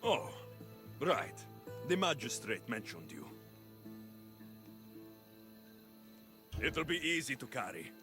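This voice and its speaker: A stoic mercenary warrior from an ancient Greek video game. His mid-range voice moves with lively inflection while staying terse and dry. Music is clearly heard behind him. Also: medium pitch, measured pace. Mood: obliging. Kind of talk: scripted video game acting.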